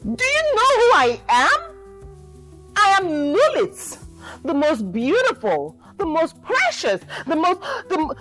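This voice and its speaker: A woman voicing a vain, proud young girl who sings a boastful song in a shrill, sing-song voice. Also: wildly swooping pitch, a little slow, fairly bright tone, faint music behind, heavily acted. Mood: indignant.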